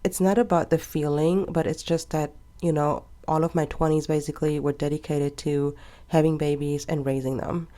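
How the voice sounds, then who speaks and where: A woman's voice: low voice, fairly even intonation, normal speed. A woman in her twenties chatting casually and rambling a little as she decorates her planner. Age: 20s. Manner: chatty and rambling, with soft laughs. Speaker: planner vlogger. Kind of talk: casual chat while planning.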